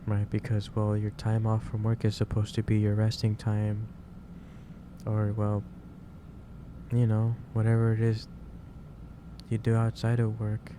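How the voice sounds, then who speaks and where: A man playing a gentle, caring office boss in a soft-spoken ASMR roleplay, speaking haltingly and repeating himself. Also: lowish voice, monotone, noisy background, close to an everyday voice. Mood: gently worried. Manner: hesitant, halting, repeats phrases. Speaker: roleplay performer.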